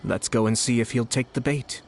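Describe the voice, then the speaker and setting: An actor playing a calm, shrewd young nobleman who explains schemes in a measured, deliberate way for a video game. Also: medium pitch, creaky, close to an everyday voice. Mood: composed, scheming. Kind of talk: English video game voice acting. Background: background music.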